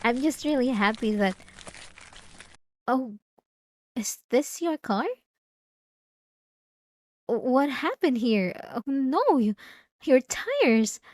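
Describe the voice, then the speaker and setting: A woman playing a sweet, devout, obsessive young church girl in an ASMR roleplay, speaking haltingly with apologetic, feigned innocence. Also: high-pitched, big pitch swings, no echo, heavily acted. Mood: feigned concern. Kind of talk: ASMR roleplay drama, addressed to the listener.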